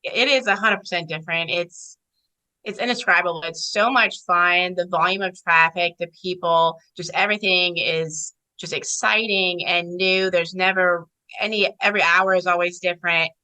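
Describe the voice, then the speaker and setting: A woman's voice: on the low side, fairly even intonation, moderate pace, sharp tone, rough recording. A woman giving informal, explanatory answers in a conversational interview about her job as an air traffic controller.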